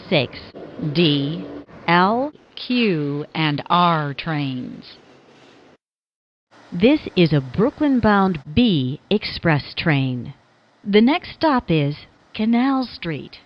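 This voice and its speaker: A woman's voice: lowish voice, highs cut off, slight background noise. A woman reading scripted transit announcements as a train announcer, in formulaic, carefully enunciated phrases that sound spliced together.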